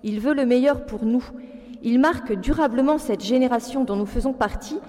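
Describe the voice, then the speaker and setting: A woman in her forties speaks earnestly to an audience, moving between prepared reading and spontaneous asides. Her voice is slightly high and notably warm and mellow. A long reverb from the large hall trails her words. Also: moderate pace. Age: 40s. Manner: earnest, alternating read text with spontaneous asides. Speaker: speaker giving a testimony. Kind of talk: public personal testimony, part read aloud.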